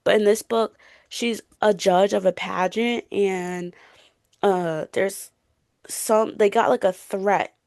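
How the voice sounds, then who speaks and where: A woman with a creaky, fry-edged voice co-hosts a book podcast. Her delivery is casual and slangy, often doubling back to correct herself. She sits at an average female pitch, recorded dry. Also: fairly even intonation, slow pace, darkish tone, no echo. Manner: informal, youthful slang, self-correcting. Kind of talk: casual chat about books.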